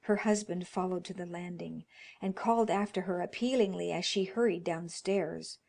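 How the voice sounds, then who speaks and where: A woman reading a classic horror story as narrator, dramatic and expressive, at times dropping into hushed tones. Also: lowish voice, normal speed, creaky.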